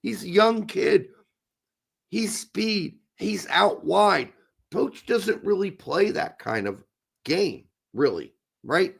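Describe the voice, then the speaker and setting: A man co-hosting a casual podcast chat, hoarse with his voice going, blunt and opinionated with some swearing. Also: sits high, lively intonation, slow. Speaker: co-host.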